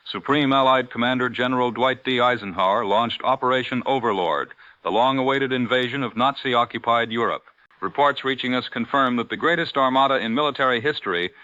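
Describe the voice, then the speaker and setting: A dull, dry vintage radio recording carries a composed American news anchor of the 1940s, acted by a man. His delivery is formal and measured, with a bright, forceful edge, as in a wartime radio drama. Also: mid-range voice, dry recording, close to an everyday voice. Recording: muffled vintage radio sound.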